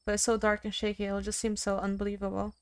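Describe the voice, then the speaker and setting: A woman's voice: mid-range voice, completely flat delivery, quick pace, clear, resonant tone, no room sound, lightly acted. A woman voicing an earnest young female monster-hunting video maker in a visual novel. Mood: urgent, determined. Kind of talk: visual novel character read-aloud. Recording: music behind.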